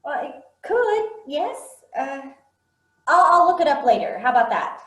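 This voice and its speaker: Woman as a fussy, stammering meeting chair; high voice, echoey room. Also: big pitch swings, a little slow, fairly bright tone, exaggerated voice. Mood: flustered. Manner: hesitant, stammering. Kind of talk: staged play reading over video call. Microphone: video call.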